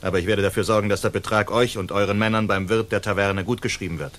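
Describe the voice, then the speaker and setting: An actor playing a suave, courteous young noble hero with a polite, wry manner in a German radio drama. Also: male voice, on the low side, steady tone, fast, a little hiss or hum, lightly acted. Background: soft guitar music.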